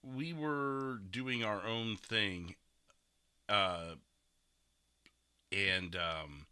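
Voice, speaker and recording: Very bright, low-voiced male host. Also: drawn-out words, clear, resonant tone. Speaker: podcast host and streamer. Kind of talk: casual review chat.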